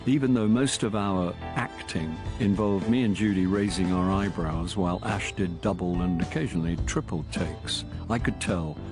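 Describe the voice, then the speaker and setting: A man's voice: sits low, moderate pace, few pauses, over music, lightly acted. An actor playing a hapless, evasive middle-aged English man in a radio comedy-drama, by turns wry and flustered. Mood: wry narrating. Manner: flustered, hesitant in dialogue, wry in narration. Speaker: actor playing narrator and lead. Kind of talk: radio comedy-drama acting and first-person narration.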